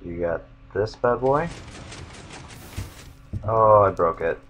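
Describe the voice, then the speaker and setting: A young man with a monotone voice, casually rambling as he walks viewers through his game builds. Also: fairly low pitch, takes it slowly, highs cut off, slight background noise. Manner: rambling, self-interrupting. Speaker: gamer showing his build.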